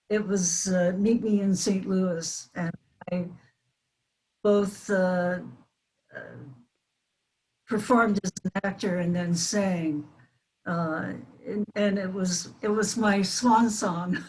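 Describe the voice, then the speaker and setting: Slow, deliberate elderly woman. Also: mid-range voice, poor audio. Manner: halting, deliberate. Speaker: panel guest, former child radio actress. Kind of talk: casual reminiscing on a panel.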